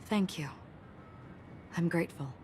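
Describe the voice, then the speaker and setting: Game warrior woman, actress: low register, solemn, formal, restrained and mysterious. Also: measured pace, a little mellow, a little hiss or hum, natural voice. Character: solemn, formal, enigmatic female warrior, stoic. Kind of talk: video game voice acting. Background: music.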